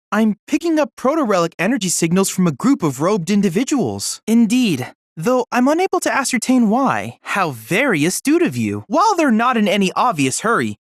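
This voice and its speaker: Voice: mid-range voice, dry recording, studio quality. An actor voicing an earnest, brainy boy-like android assistant in a video game, youthful-sounding and speaking in formal, precise, bookish phrases. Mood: earnest, analytical. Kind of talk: video game voice acting, scripted dialogue.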